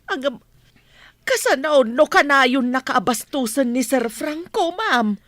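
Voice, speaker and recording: Slow, high-voiced caring Filipina family maid. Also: female voice, animated, a bit bright, no echo, full character voice. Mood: concerned. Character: caring older family maid, plainspoken, protective nanny. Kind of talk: Ilocano radio drama acting. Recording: dry studio, music underneath.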